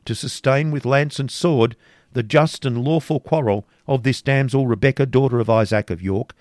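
A man's voice: medium pitch, moderate pace, darkish tone, no echo, studio quality, pitched above the performer's own voice, natural voice. A man voicing a bold champion knight declaiming. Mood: defiant.

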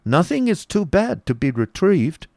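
Guy reading as a blustery storybook baron, frank and emphatic, voice pushed up high, really animated. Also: a little slow, no echo, studio quality. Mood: hopeful. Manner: exclamatory, emphatic, jumping up in pitch.

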